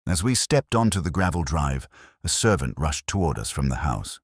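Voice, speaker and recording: A man narrating a scripted mystery story in a measured, formal literary way. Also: extremely low pitch, sing-song, no echo, studio quality. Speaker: audiobook narrator.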